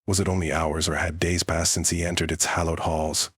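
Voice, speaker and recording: Very deep, husky male narrator. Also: level tone, fairly quick, fairly bright tone, no room sound, studio quality. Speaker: audiobook narrator. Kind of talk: scripted fantasy narration.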